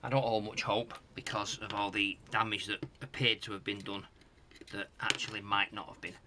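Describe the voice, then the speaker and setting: A middle-aged British man giving casual hands-on repair commentary, musing aloud in a halting, hesitant way. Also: lowish voice, level tone, sharp tone, slight background noise. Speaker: host of a retro tech repair channel. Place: home workshop loft.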